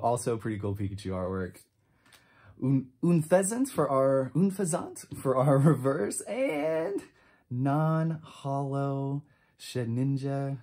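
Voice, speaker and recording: A man in his twenties giving casual, enthusiastic pack-opening commentary, chatty and quick to drop short exclamations. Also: medium pitch, darkish tone. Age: 20s. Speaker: trading card channel host. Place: indoor room with shelves of collectibles.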